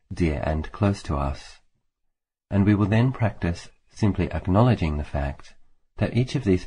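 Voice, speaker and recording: A man with a deep, soft-edged voice guides a meditation. He speaks calmly and deliberately, leaving long pauses between phrases. A faint hiss sits behind his voice. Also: rough recording. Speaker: Buddhist monk, meditation teacher.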